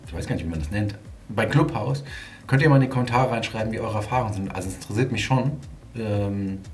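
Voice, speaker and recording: A man chatting casually to his viewers as a vlogger, rambling and thinking aloud. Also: fairly low pitch. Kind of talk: casual vlog chat. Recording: clearly audible ambient background noise.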